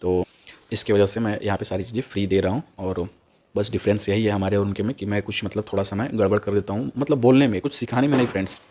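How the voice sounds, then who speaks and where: A young man giving an informal, unscripted tutorial, repeating himself and often tagging phrases with a quick check word. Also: lowish voice, steady tone, darkish tone. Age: young adult. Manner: repetitive, with a frequent "okay" tag after phrases. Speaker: stock trading tutor on YouTube.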